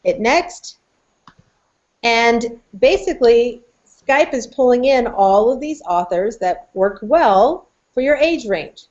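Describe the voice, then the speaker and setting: A woman's voice: a little high, expressive, takes it slowly, darkish tone. A middle-aged woman co-hosting a casual chat for educators, upbeat and encouraging as she walks through tools. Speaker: podcast co-host and educator. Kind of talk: casual chat with tool demonstration. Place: small indoor office, video call. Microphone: computer microphone on video call. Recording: dull, lo-fi video call audio.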